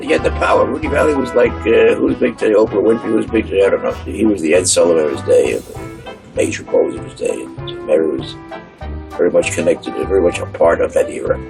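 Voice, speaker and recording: A man's voice: sits low, quick pace, vocal fry, recorded from a distance, music in the background. A man speaking casually in a documentary interview, searching for his words.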